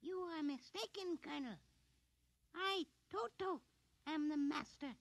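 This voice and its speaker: A woman playing a tiny, imperious alien commander in a doll's body, haughty, deliberate and menacing, in old radio horror style. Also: high-pitched, vocal fry, dry recording. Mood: cold menace. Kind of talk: 1950s radio horror acting.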